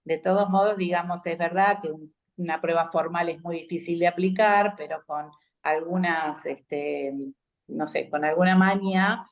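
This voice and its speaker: Low-voiced Argentine clinician; hesitant, filler-laden remarks. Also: female voice, steady tone, moderate pace. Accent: Argentine Spanish. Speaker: hospital clinician commenting. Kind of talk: conference discussion.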